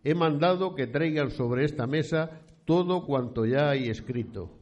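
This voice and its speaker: An actor playing a pious, stately eighteenth-century Spanish landowner in a radio play, speaking in a solemn, formal and courteous old-fashioned way. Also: male voice, on the high side, animated, measured pace, a little mellow, a little hiss or hum. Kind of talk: scripted historical radio play. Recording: dull, muffled lo-fi recording.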